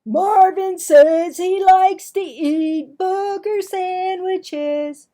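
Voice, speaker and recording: A woman reading a children's storybook, voicing a loud, taunting schoolboy bully who stretches out his gleeful jeers. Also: very high voice, very slow, pitched above the performer's own voice, full character voice. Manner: gleefully jeering, stretching words out. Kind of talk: children's storybook read-aloud.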